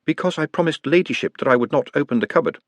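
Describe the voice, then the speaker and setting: A man voicing a gentle, soft-spoken orc who talks in a quiet, patient and formal way. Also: on the high side, fast, no echo, pitched above the performer's own voice, natural voice. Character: gentle, soft-spoken orc under self-hypnosis, polite.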